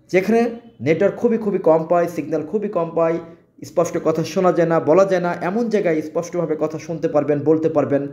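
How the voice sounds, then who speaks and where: A man with a Bangladeshi accent presenting products in an informal sales pitch, often repeating and restating his phrases. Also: darkish tone. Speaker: shop presenter selling products.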